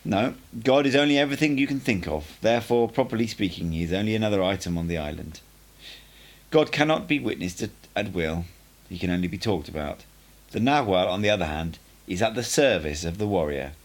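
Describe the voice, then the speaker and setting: A man's voice: fairly low pitch, animated, a little fast. A man voicing a playful old sorcerer who teaches with patient, lecturing explanations. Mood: explaining. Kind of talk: audiobook character dialogue.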